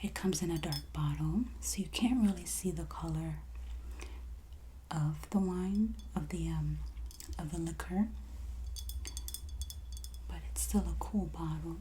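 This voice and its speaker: A woman with a low, mellow voice speaks very softly and breathily, often nearly whispering. She plays a merchant in an ASMR roleplay, presenting items gently and very slowly, close to the mic over faint background noise. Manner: slow, gentle, unhurried presenting. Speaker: ASMR host playing a wine and spirits merchant. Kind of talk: soft-spoken ASMR roleplay, product showcase.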